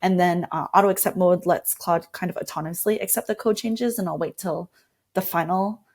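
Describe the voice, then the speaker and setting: A woman's voice: lowish voice, steady tone, fairly quick, darkish tone. A woman speaking as an interview guest, explaining and walking through tips in a conversational way. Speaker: interview guest, design lead.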